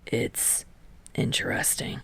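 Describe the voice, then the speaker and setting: A woman voicing a gruff, awkward, blunt teenage boy athlete in an audiobook, muttering and halting. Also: a bit bright, dry recording. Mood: matter-of-fact.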